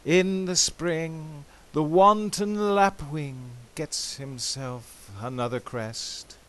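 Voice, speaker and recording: A man giving a dramatic poetry recitation in a measured, theatrical, expressive way, with drawn-out phrases. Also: fairly high pitch. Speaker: poetry reader.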